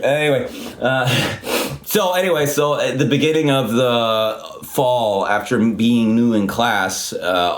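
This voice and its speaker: Super deep voiced guy in his 30s, rambly chatty storyteller, lots of restarts. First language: Swedish. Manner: rambling, many restarts and swearing. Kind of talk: casual storytelling.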